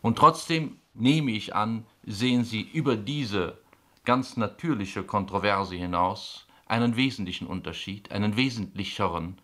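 A man's voice: on the low side, measured pace, bright, forceful tone. A man hosting a discussion, asking long, formally phrased questions. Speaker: host.

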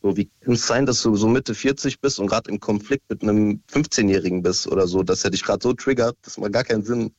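A young man from Syria calling into a radio show, relaxed and a bit hesitant. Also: lowish voice, fairly quick, hardly any pauses, no echo, lo-fi sound. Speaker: caller. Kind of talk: casual phone call to a radio show.

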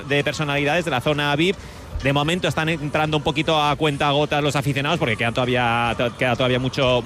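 Male roving sports reporter, Spain accent, moderately high pitch, live outdoor radio. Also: fairly bright tone. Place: outdoors at a stadium entrance.

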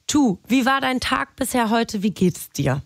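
A woman hosting a casual call, upbeat and encouraging, with relaxed colloquial speech. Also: a little high, a little slow, vocal fry, dry recording, studio quality. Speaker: host.

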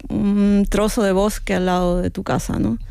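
A woman's voice: mid-range voice, flat delivery, moderate pace, gravelly, dark tone, clear, resonant tone, no echo, clean studio sound. A woman, an author talking reflectively in an interview, often tagging phrases with a questioning "no". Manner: reflective, many "¿no?" tags and restarts. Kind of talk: interview conversation.

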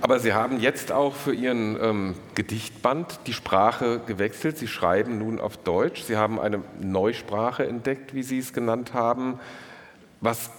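A man hosting a live literary event, putting prepared interview questions in a measured, polite and formal way. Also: mid-range voice. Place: large echoing room at a live literary event. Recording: reverberant hall.

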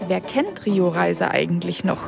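A woman's voice: lowish voice, animated, moderate pace, gravelly. A woman narrating a scripted news feature as a reporter. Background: music.

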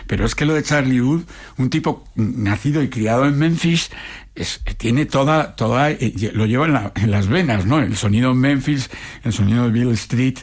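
A man's voice: medium pitch, moderate pace. A man from hosting a music radio show, chatting in a rambling way full of restarts. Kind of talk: conversational radio presenting.

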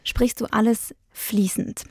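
A woman's voice: fairly high pitch, steady tone, takes it slowly, a crowd in the background. A woman hosting a radio program, introducing music and asking her guest friendly, encouraging questions. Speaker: radio host. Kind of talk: radio interview and music presentation.

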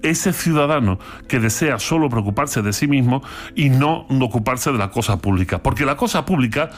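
A man from Andalusia with an Andalusian accent, explaining philosophy in a lively talk with playful, theatrical asides. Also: mid-range voice, moderate pace. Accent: Andalusian Spanish. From Andalusia, Spain. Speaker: philosophy commentator.

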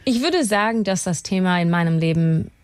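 A woman's voice: lowish voice, animated, a little fast, clear, resonant tone, no echo. Canadian-accented woman, singer on a radio interview, laughs a lot, dead dry studio sound. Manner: chatty, laughs often, slips English words in. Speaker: guest singer-songwriter. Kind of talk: radio interview, casual chat.

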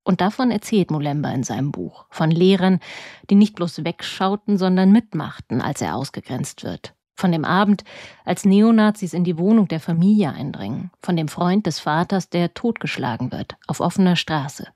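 A woman reporter narrates a scripted book review with measured, deliberate phrasing. Her voice is on the low side and mellow. The recording is close and dry. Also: lively intonation, no echo.

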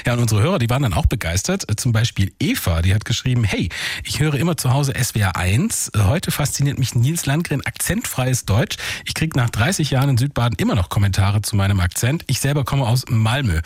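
A man's voice: medium pitch, expressive, fairly quick, slightly rough, dry recording. A male radio host giving a fluent, friendly recap of an interview on air.

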